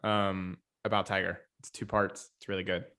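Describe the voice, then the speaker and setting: A man's voice: low voice, flat delivery, moderate pace, bright, forceful tone. A young American man co-hosting a podcast in casual sports chat. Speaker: podcast co-host.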